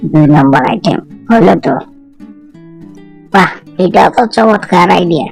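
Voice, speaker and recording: A woman's voice: fairly low pitch, animated, unhurried, gravelly, darkish tone, soft background music, heavily acted. A woman voicing a chatty village friend in a cartoon, talking familiarly in a colloquial eastern Bengali dialect. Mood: pleased. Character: chatty village women friends swapping home recipes.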